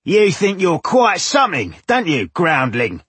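A man voicing a belligerent, suspicious village man in an audiobook, loud, mocking and aggressive. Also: a little high, huge pitch swings, unhurried, slightly rough, no echo, pitched above the performer's own voice, full character voice. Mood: mocking, hostile. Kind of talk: audiobook character dialogue.

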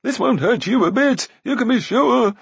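A man's voice: very high voice, expressive, moderate pace, creaky, breathy edge, no echo, pitched above the performer's own voice, exaggerated voice. A man voicing a cowardly, self-pitying little giant in a fantasy audiobook, pleading and wheedling plaintively. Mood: frantic wheedling.

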